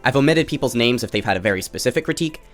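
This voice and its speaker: Fast, nasal man reading a critique essay. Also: medium pitch, expressive, soft background music. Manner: measured, careful disclaimers. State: recovering from illness. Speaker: video essayist. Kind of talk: scripted commentary.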